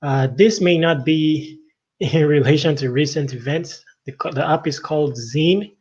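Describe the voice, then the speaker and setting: A middle-aged man giving casual tech news commentary as a livestream host, talking in a halting, rambling way with frequent verbal tags. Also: on the high side, darkish tone, breathy edge. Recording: dull, lo-fi microphone sound.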